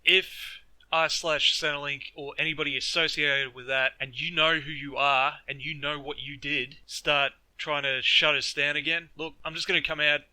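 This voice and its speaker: A man's voice: fairly high pitch, sharp tone, smooth, no room sound, rough recording. A man hosting a podcast, speaking straight to his listeners in a blunt, firm way. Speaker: podcast host. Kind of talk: direct address to listeners.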